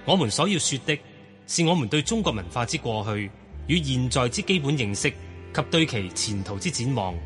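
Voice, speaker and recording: A man reads an old declaration aloud in a solemn, declamatory voice. His pitch rises and falls a lot as he stresses each phrase. Clear music plays underneath. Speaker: reader of a historical text. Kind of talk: formal declamatory reading.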